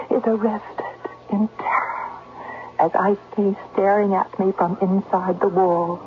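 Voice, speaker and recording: An actress playing a frightened, inquisitive young companion in a gothic manor, breathless and intense in a 1970s radio drama style. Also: female voice, mid-range voice, noisy background, natural voice. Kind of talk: 1970s radio drama acting with first-person narration. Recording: tinny old radio broadcast recording.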